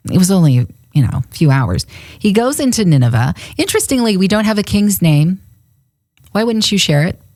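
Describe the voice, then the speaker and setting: A woman's voice: mid-range voice, sing-song, fairly quick, darkish tone, no echo, studio quality. A woman co-hosting a faith podcast, chatting casually and laughing often as she retells a Bible story. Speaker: radio and podcast co-host. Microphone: studio microphone.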